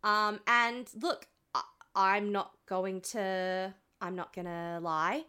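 A woman's voice: mid-range voice, steady tone, slow, bright, forceful tone, smooth. An Australian woman co-hosting a casual comedy podcast, playful, self-mocking and sweary, sounding a little congested with a sore throat. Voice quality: slightly stuffy, congested. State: sore throat from a cold night out. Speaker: podcast co-host.

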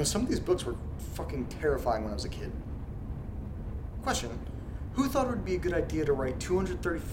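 A man in his thirties hosting a horror podcast, casual and sardonic with offhand swearing. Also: a little high. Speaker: podcast host reading letters. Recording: steady rain noise behind.

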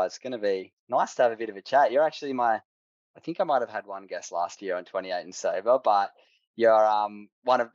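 A man's voice: lowish voice, no room sound, highs cut off. A man hosting a podcast interview, asking friendly, enthusiastic, rambling questions. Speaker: podcast host.

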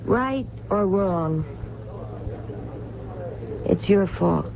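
An actress playing a blunt, stubborn waitress in a radio drama, with an American accent and plain, earnest, colloquial speech. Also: female voice, medium pitch, expressive, drawn-out words, vocal fry, heavily acted. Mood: defiant. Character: brassy, stubborn working-class diner waitress, yearning and protective. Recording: dull, noisy old radio broadcast.

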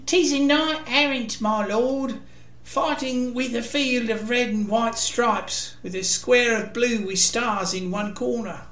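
A nervous, deferential squire who mumbles his replies, voiced by a man. His tone is high and bright. He is heard from a little distance in a roomy space. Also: slow, heavily acted.

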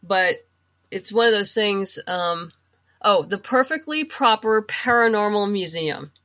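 A woman talking casually as an interview guest, chatty and a little halting as she gathers her thoughts. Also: medium pitch, animated, a bit bright. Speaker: guest, comic book writer and novelist. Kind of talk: casual interview chat, giving reading recommendations.

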